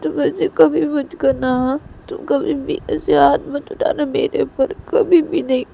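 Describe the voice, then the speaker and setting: Storytelling role: hurt, clinging young woman played by a woman, high whimpering voice, repetitive pleading, light noise behind. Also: fairly even intonation, unhurried, gravelly, dark tone, full character voice. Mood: hurt, tearful.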